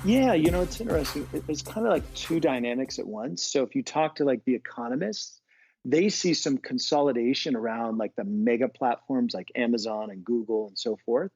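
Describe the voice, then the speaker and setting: A man's voice: medium pitch, brisk, a little mellow, husky, no room sound, soft background music. An American man, a business school professor, talking through ideas in a casual podcast interview with frequent restarts. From United States. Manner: thoughtful, restarts often, many fillers.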